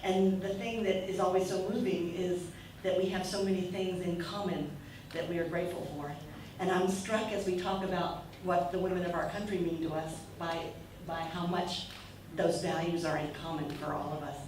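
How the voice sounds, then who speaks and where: A middle-aged American woman giving a short reflective speech to a gathering, with soft fillers. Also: lowish voice, steady tone, normal speed, hardly any pauses, background noise. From United States. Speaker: guest speaker. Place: function room at a breakfast event. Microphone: handheld mic, far camera. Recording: echoey room, far from the camera.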